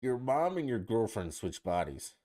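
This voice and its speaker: A man's voice: mid-range voice, sing-song, moderate pace, warm tone. A man co-hosting a podcast, asking crude questions and bragging in casual banter, a little tipsy. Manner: brash, joking, boastful.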